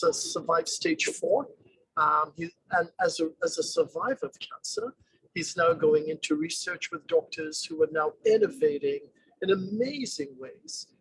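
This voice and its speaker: A man presents his summer class over a video call from outside. His voice sits high for a man, a little husky and gravelly. He talks earnestly and pauses to weigh his rhetorical questions. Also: fairly bright tone, dry recording, rough recording. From born in Guyana, raised in England. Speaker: summer program teacher. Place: outdoors.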